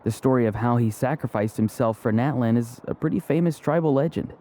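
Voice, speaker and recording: Man playing a mellow, grave young warrior. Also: medium pitch, lightly acted. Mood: serious, puzzled. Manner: measured, serious. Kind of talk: English video game character acting.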